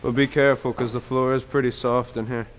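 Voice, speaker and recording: A man giving casual, excited, slangy commentary while exploring an abandoned church on a head-mounted camera. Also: mid-range voice, fairly quick. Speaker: urban explorer vlogger. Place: abandoned church, indoors and outdoors. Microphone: head-mounted action camera. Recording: long reverb in large empty hall, background noise.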